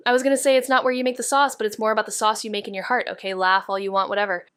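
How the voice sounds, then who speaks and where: Woman acting awkward female sleuth, smooth clear voice, dry close sound, wry, halting, noir podcast drama. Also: mid-range voice, flat delivery, fairly bright tone, dry recording, close to an everyday voice. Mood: flustered. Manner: halting, hedging, dryly wry. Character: awkward young female amateur sleuth, narrating noir-style. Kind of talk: audio drama dialogue and noir narration.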